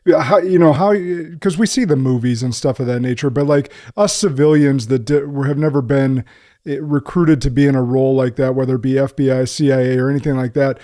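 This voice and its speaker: Podcast host with a mellow voice. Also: male voice, mid-range voice, fairly even intonation, a little fast. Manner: rambling, self-correcting questions. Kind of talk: casual interview questions.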